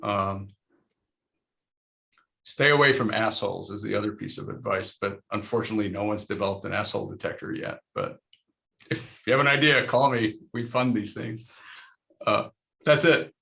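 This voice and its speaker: A middle-aged man from Lebanon answers panel questions in a loose, rambling, frank way. His voice sits low with a gravelly edge. He often restarts his sentences as he thinks out loud. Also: moderate pace, long pauses. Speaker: panel guest, investor. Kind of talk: casual panel conversation.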